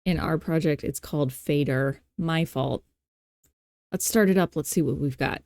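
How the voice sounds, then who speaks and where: A woman with a fairly low, mellow voice talks through a screen-recorded tutorial. She is informal and playful, thinking aloud with self-deprecating asides. The sound is very dry and close. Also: moderate pace, vocal fry, no echo. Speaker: tutorial host.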